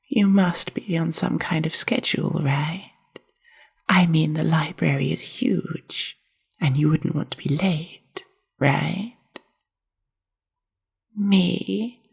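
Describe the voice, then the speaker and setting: Breathy, creaky woman as a reclusive spider-woman librarian. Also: fairly low pitch, heavily acted. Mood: dry, deadpan. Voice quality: breathy, whispery, husky. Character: reclusive, awkward, dryly grumbling spider-woman university librarian. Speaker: ASMR roleplay performer. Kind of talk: soft whispered ASMR roleplay.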